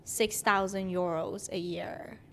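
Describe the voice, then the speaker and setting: A woman's voice: medium pitch, fairly even intonation, slow, a little hiss or hum. A woman in her twenties, from Iran, with an accent that mixes Persian and Greek, chatting casually as a guest and often laughing mid-sentence. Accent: mixed Persian and Greek. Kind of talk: casual interview chat.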